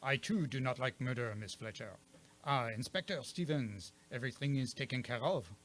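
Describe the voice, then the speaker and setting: A man's voice: medium pitch, moderate pace, a bit bright, close to an everyday voice. A man playing a courtly, self-assured detective in a radio mystery play, with a French accent and a precise, theatrical manner. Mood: genial, explaining. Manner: precise, theatrical, savoring his explanations.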